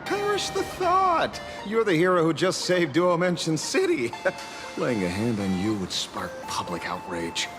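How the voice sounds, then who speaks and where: A man's voice: fairly high pitch, huge pitch swings, measured pace, over music. A man playing a cynical, cagey investigator in a video game, with a sardonic, teasing drawl. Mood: mocking. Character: sly, cynical male investigator, taunting and cagey.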